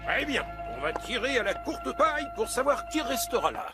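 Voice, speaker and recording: A jolly older fire chief from a cartoon. The voice sits very high and swings widely in pitch. He takes his time, savouring words theatrically and sentimentally, over a music bed. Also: normal speed. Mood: kindly authority. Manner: animated, exclaiming, theatrical. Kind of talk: French cartoon dub. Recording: music behind the voice.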